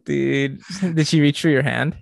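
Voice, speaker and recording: A young man co-hosting a podcast, teasing his friend with quick comebacks in casual chat. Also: a little high, steady tone, gravelly, no room sound, clean studio sound. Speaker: podcast co-host.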